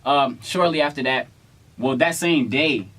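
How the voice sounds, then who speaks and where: A man's voice: mid-range voice, a little slow. A young adult American man casually telling stories in a playful, slangy way, acting out quotes as a vlog co-host. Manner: playful, slangy, animated retelling with acted-out quotes. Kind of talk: casual storytelling.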